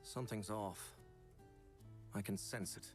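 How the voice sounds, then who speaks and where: Low, self-serious watchful young man acted. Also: steady tone, a little slow, darkish tone, faint music behind, close to an everyday voice. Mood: grave.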